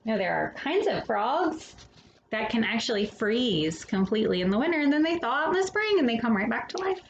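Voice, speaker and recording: A woman hosting a storytime, reading a children's picture book expressively with dramatic pauses and casual asides. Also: fairly high pitch, highs cut off. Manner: animated, dramatic pauses between phrases. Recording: roomy echo with faint background noise.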